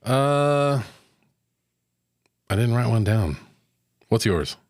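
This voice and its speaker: A man's voice: mid-range voice, lively intonation, extremely slow, no echo. A man co-hosting a film review show, chatting casually with his fellow host. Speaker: podcast co-host reviewing films.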